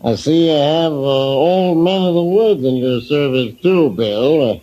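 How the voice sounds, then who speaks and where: A man's voice: on the high side, a little slow, dark tone, close to an everyday voice, rough recording. An actor playing a genial old seaman in a radio drama, chuckling and hesitant in his salty talk. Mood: genial, teasing. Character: genial old sea dog boat skipper. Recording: hissy old time radio recording.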